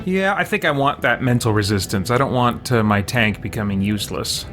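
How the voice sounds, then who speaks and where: A man's voice: medium pitch, fairly quick. Man thinking aloud over game music. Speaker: let's play host. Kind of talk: casual game commentary.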